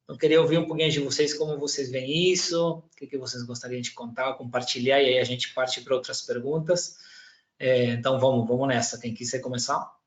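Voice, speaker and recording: Argentine man, mid pitch, chatty panel moderator on a video call, echoey room. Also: steady tone, brisk. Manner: chatty, loose, many fillers. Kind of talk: hosting a live online panel.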